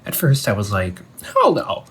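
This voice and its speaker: A man playing a vengeful undead older brother in an audio roleplay, menacing yet wounded, speaking in a theatrical, taunting way with drawn-out pauses. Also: fairly low pitch, huge pitch swings, fairly bright tone, husky, heavily acted. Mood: threatening, mocking. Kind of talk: scripted audio roleplay monologue addressed to the listener.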